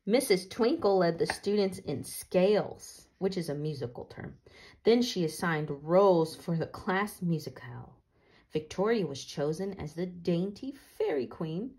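A woman with a fairly low voice reads a picture book aloud. She explains things casually and simply, as if for children. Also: animated, measured pace, a little mellow. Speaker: read-aloud storyteller. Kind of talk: storybook reading with casual explanations.

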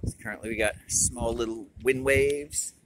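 A man's voice: mid-range voice, steady tone, moderate pace. Man on a windy fishing pier. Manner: plain, matter-of-fact, clipped phrases. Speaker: fishing report host. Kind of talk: casual fishing report while filming. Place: outdoors on an ocean fishing pier. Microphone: handheld phone camera mic. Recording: loud wind and surf noise.

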